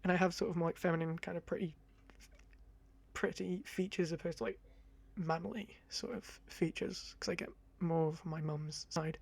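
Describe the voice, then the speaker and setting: Gravelly, high-voiced young man. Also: steady tone, moderate pace. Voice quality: high-pitched voice. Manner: chatty, rambling, self-deprecating. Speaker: ASMR creator. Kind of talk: casual ASMR ramble to camera. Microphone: close condenser mic.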